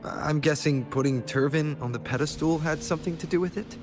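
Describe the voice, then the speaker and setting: A man playing a calm, steady, earnest young hero in a video game, level-headed and serious. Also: on the high side, brisk, over music, close to an everyday voice. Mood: thoughtful.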